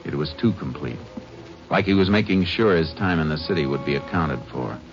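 Deep-voiced, laconic Texas Ranger. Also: male voice, normal speed. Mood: probing, cool. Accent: American. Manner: terse, dry, matter-of-fact. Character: laconic, methodical Texas Ranger investigator and narrator. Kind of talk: 1950s radio drama acting and first-person narration. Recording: dull old radio recording, music behind.

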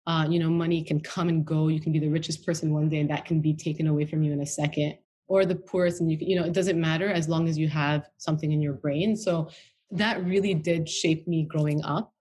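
A woman's voice: sits low, fairly even intonation, a little fast, a little mellow, husky. A woman from the US capital, a guest chatting in an interview, loose and talkative with lots of filler words. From Washington DC, United States. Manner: chatty, frequent "you know" and "like". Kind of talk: casual interview conversation.

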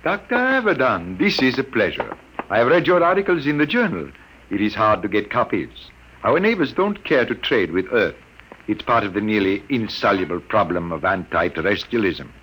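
An actor playing a sixty-two-year-old scientist who speaks earnestly and explains things carefully, in an old radio drama. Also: male voice, on the low side, very animated, moderate pace, fairly bright tone, husky, heavily acted, poor audio. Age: 60s. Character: aging Earthman scientist, earnest and burdened. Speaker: elderly scientist father. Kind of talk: 1950s radio drama acting.

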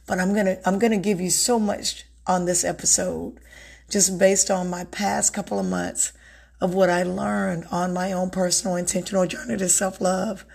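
A woman hosting an unscripted self-help podcast, with a raspy voice that sounds a little strained from a recent illness, talking earnestly and repeating words for emphasis. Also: on the low side, level tone, normal speed, a little mellow. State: recovering from illness, slightly strained voice. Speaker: podcast host.

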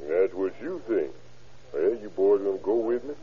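An actor playing a restless, stubborn young soldier with rustic speech, husky, in a classic radio drama. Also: male voice, sits low, animated, moderate pace. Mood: defiant, boastful. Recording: thin, phone-like old radio recording.

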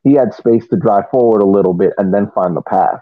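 A man's voice: lowish voice, no echo, narrow, tinny audio. A man giving casual soccer analysis as a podcast panelist, often repeating words as he works through his point. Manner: halting, repeats words while reasoning.

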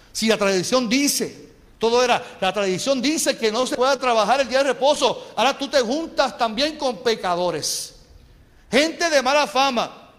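High-voiced Puerto Rican preacher. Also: male voice, a bit bright, in a large hall. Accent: Puerto Rican Spanish. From Puerto Rico. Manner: impassioned, calls the congregation for amens. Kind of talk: sermon. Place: church sanctuary.

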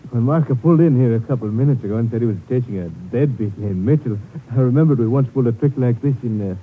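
An easygoing, shrewd secret agent played by a male actor talks casually and very quickly. His voice sits at a middle pitch. The old radio recording is thin and slightly noisy. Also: phone-quality sound, lightly acted. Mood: relaxed, wry. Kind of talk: 1950s radio adventure acting.

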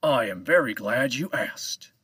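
Cryptic old man, slow and measured, played by a male storyteller. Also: medium pitch, animated, fairly bright tone, husky, dry recording, studio quality, recorded from a distance. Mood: calm, cryptic. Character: calm, mysterious old male island keeper.

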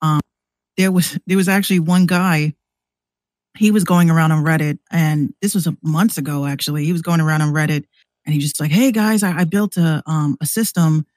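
A woman guesting on a podcast, chatting and telling stories casually in a slangy, halting way with repeated words. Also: sits low, steady tone, a little mellow, no echo. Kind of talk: casual chat, storytelling.

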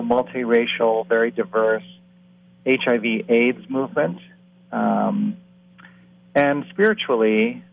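A man in his forties with a gravelly edge talks in a measured, reflective way, filling gaps with ums. The sound is dry and thin, carried over a phone line. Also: medium pitch, dry recording. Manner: thoughtful, frequent ums. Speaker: coach educator. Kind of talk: panel self-introduction. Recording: tinny phone-line audio.

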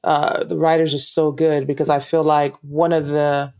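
Nigerian woman, interview guest, smooth resonant tone, thoughtful with frequent ums. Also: low voice, level tone, normal speed. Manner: warm, reflective, laughs easily, many ums. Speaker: actress guest. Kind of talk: casual interview chat.

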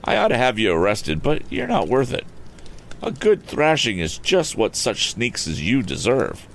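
A man voicing a bold, cool-headed teenage boy inventor who talks in a crisp, commanding way in a storybook reading. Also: medium pitch, wildly swooping pitch, moderate pace, a little hiss or hum, pitched above the performer's own voice. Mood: stern. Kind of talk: audiobook character voice.

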